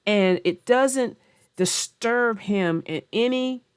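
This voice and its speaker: A woman's voice: a little high, slow pace, clear, resonant tone, no room sound. A woman hosting a children's read-aloud, narrating a picture book and chatting about it in simple, explanatory terms. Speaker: children's story narrator and host.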